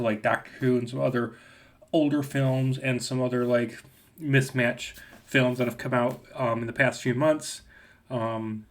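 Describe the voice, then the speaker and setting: A young man with a slight cold talks through a casual movie review. He rambles, filling gaps with ums and restarting his sentences. His voice sits at an ordinary male pitch. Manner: rambling, with many fillers and restarts. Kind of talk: casual video review rant.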